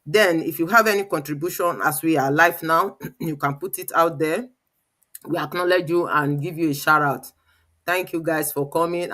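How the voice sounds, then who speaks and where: A woman's voice: sits low, a bit bright. A woman hosting an informal live webinar, chatty and given to repeating her phrases. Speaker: live show host.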